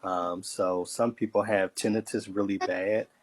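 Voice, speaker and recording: A man joining a casual chat as a guest, explaining things in a measured way. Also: deep voice, steady tone.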